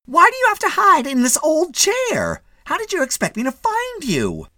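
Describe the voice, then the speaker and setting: A man voicing a bossy, impatient middle-aged wife who scolds in shrill, exasperated questions, in a story reading. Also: huge pitch swings, moderate pace, bright, forceful tone, no room sound, clean studio sound, heavily acted. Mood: scolding.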